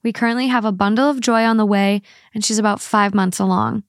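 Even-voiced woman reading posts aloud. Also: medium pitch, quick pace, no echo, studio quality. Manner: reads long posts aloud in an even, steady voice. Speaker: podcast co-host.

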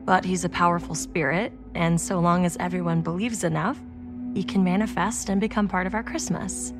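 A woman's voice: lowish voice, steady tone, moderate pace, close to an everyday voice. Earnest girlfriend, acted by a woman, music behind. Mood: matter-of-fact. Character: earnest, enthusiastic young girlfriend.

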